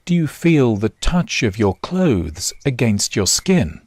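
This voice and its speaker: A man guiding a body scan meditation in short, measured instructions with long pauses. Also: mid-range voice, sing-song, a little mellow, husky, no echo. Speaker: meditation guide. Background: nature sounds.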